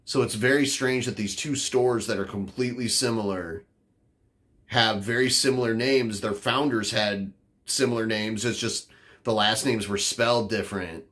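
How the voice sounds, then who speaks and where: A man's voice: fairly low pitch, fairly even intonation, moderate pace, fairly bright tone. A man from the northern United States, a podcast host rambling casually with lots of fillers. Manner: rambling, filler-heavy, offhand. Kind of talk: casual chat answering chat.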